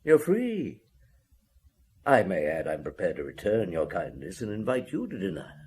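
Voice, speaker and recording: An actor playing a self-assured police detective in a radio drama, measured, dry and probing. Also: male voice, deep voice, huge pitch swings, a little mellow, breathy edge, heavily acted. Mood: calm, dry.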